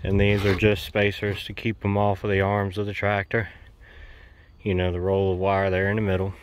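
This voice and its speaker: Clear-voiced Southern man, folksy and casual. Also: low-pitched, completely flat delivery, normal speed, no echo. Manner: folksy, plain-spoken, rambling. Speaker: homestead DIY video maker. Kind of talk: casual unscripted how-to narration. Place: outdoors on a rural homestead.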